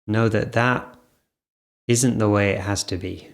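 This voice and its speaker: A man leading an off-the-cuff guided meditation, speaking in short phrases with gentle pauses between them. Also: on the low side, fairly even intonation, vocal fry.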